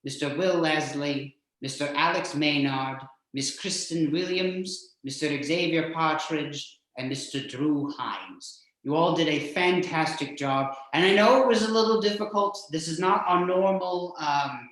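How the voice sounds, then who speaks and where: Male horror-show host, higher-pitched voice, hammy and jokey, heavily echoing room. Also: unhurried, hardly any pauses, a bit bright. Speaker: host of a horror radio-play stream. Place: indoors, remote video call stream. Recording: very echoey, boomy room sound.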